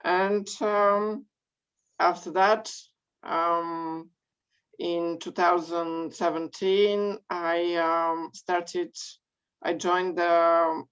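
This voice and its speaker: A woman from Bulgaria, telling her career story as a guest in an online interview, measured with many pauses. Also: on the low side, level tone, clear, resonant tone. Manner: measured, frequent ums and pauses. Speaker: guest speaker. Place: home or office in Belgium. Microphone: video call. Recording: dull lo-fi call audio.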